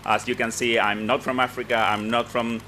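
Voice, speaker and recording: A man's voice: medium pitch, level tone, a little fast, bright, forceful tone, faint music behind. A man from Argentina with an Argentine accent gives a semi-formal speech as a program director, speaking English as a native Spanish speaker and often repeating words as he searches for phrasing. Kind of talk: semi-formal conference speech, spoken off the cuff. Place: conference event with participants.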